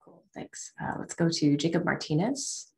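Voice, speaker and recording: A young woman on a headset at home, briskly calling on people as instructor on a video call. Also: low voice, lively intonation, creaky, mellow. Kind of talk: leading introductions on a video call. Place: home room.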